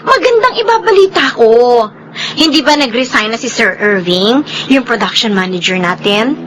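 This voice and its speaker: High, gossipy Filipina office schemer. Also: female voice, moderate pace, a little hiss or hum. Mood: gossipy, eager. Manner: chatty, conspiratorial, animated. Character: gossipy, conspiring female office employee. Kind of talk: radio drama acting in Tagalog.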